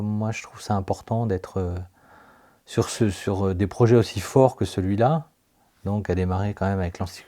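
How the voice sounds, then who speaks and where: Low, mellow halting man. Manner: halting, many fillers. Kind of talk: interview.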